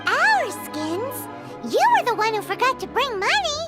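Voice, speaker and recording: A woman voicing a small, excitable, cheeky fairy companion in a video game, with a squeaky childlike voice and a chirpy manner. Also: measured pace, over loud music, exaggerated voice. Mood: cheeky, excited. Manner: refers to herself in third person, chirpy. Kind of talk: video game character acting.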